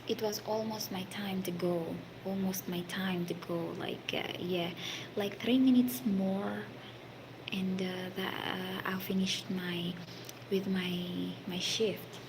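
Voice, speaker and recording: A woman from the Philippines with a Filipino accent, telling a story about her work in a halting, casual way, full of fillers and sometimes dropping to a whisper. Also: medium pitch, little pitch movement. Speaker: hospitality worker telling a story. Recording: noisy background.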